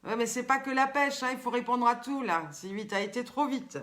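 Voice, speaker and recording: An older woman with a warm Southern French accent runs a live show for her viewers. She talks in a lively, teasing way, posing riddles and calling out to people by name. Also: on the high side, fairly quick. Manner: lively, teasing, chatty, reads viewers' comments aloud. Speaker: host. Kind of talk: live-stream chat with viewers.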